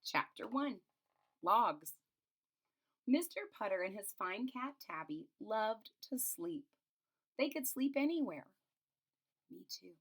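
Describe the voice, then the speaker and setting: A woman's voice: on the high side, animated, takes it slowly, pauses often, a bit bright, slightly rough. A woman reads a children's picture book aloud expressively, adding simple explanations as a teacher would. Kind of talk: children's storybook read-aloud with asides.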